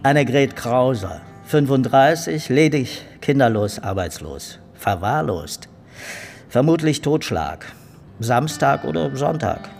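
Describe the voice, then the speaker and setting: An actor playing a sardonic, needling police detective in a radio crime drama, speaking lines in a dry, mocking, clipped manner. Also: male voice, medium pitch, expressive, slow pace, vocal fry, darkish tone, in a large hall, faint music behind. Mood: dry sarcasm.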